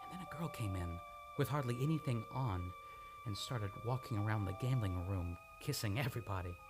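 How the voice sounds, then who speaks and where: A man's voice: on the low side, expressive, close to an everyday voice. Man acting a haunted amnesiac, wildly swinging voice, over music. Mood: haunted, recalling. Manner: halting, repeats words, swinging into outbursts. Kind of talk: old-time radio drama acting. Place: radio studio, live radio play. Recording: dramatic music under the voice.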